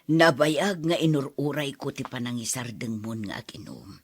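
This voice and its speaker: Radio drama role: devoted, long-suffering mother, roughened husky voice, very dry sound. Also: rumbling low, no room sound, heavily acted. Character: caring, long-suffering mother of a grown daughter. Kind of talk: radio drama acting in dialogue.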